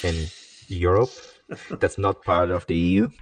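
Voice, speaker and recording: A man's voice: low-pitched, fairly even intonation, measured pace, no room sound, far from the mic. A young man from Norway with a Norwegian accent, co-hosting a casual video call chat in a playful, teasing way. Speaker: co-host. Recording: dry, a bit off-mic.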